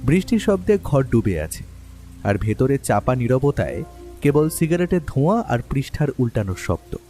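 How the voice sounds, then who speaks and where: A man narrating as a lively writer-companion. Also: mid-range voice, moderate pace, lightly acted. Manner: lively, expressive storytelling. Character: the detective's male companion and writer, first-person narrator. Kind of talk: storytelling narration in an audio drama. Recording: long reverb over soft music.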